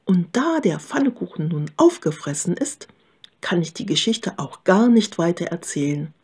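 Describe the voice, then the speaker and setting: Casting: female fairy-tale narrator, lowish husky voice, expressive, speaking to children. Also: normal speed. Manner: expressive, repetitive, addressing listeners. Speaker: storyteller.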